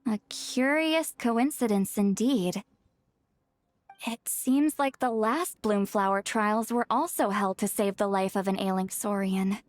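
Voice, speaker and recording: A woman playing a composed, thoughtful young investigator, speaking in a measured, analytical way in scripted game dialogue. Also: medium pitch, no room sound, close to an everyday voice. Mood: pensive, puzzled.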